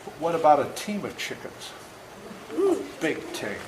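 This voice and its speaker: Folksy male storyteller, seventies, very animated delivery, reading to kids in an echoey room with faint noise. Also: on the high side, unhurried. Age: 70s. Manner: folksy, interactive, acts out moments. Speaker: author reading his book to children. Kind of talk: animated storytelling read aloud to a young audience. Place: indoor room before a school audience. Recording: roomy echo, faint background noise.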